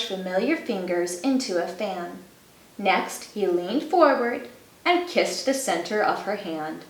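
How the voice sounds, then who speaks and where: Slow-paced woman reading to young children. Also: medium pitch, roomy sound, rough recording. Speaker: storybook reader for kindergarteners. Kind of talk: children's story read-aloud with personal introduction.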